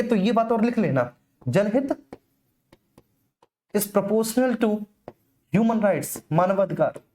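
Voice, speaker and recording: Mellow-voiced woman teaching an exam class. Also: mid-range voice. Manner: repeats key phrases for emphasis; checks in often with students. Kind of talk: informal exam-prep lecture.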